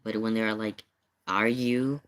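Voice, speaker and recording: A man's voice: lowish voice, steady tone, takes it slowly, fairly bright tone, clear, resonant tone. A man giving casual, informal reaction commentary as a streamer.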